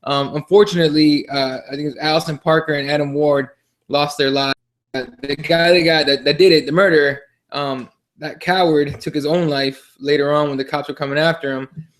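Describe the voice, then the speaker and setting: Man, slightly high voice, podcast co-host, casual, halting, repetitive, sweary. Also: steady tone. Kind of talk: casual sports talk.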